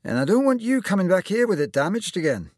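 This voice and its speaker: A man's voice: high voice, big pitch swings, fast, a little mellow, no echo, clean studio sound, voice pushed up. A man voicing a kindly older male surgeon with a Scottish accent and an encouraging, avuncular manner in a storybook reading. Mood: encouraging, firm.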